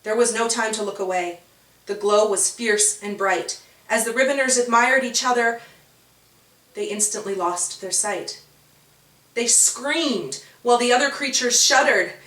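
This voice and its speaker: A woman narrating a scripted children's story in a measured, dramatic storytelling manner. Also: fairly high pitch, bright, forceful tone. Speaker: author and narrator. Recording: roomy, distant from the mic.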